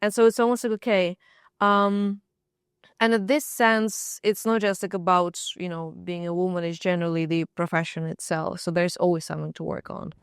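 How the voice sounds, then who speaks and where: Ukrainian-accented woman, clear and close. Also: lowish voice, flat delivery, moderate pace, dry recording. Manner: thoughtful, searching for words. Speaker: panelist, filmmaker and actor. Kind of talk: roundtable discussion.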